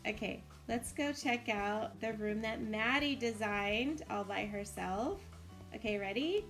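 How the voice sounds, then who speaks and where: A woman's voice: medium pitch, a little slow, fairly bright tone, faint music behind. A woman giving casual, encouraging commentary as host of a game build tour.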